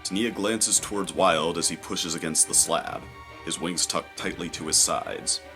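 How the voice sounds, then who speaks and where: A man narrating a scripted adventure story in a measured, descriptive, dramatic storytelling style. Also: low-pitched. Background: music.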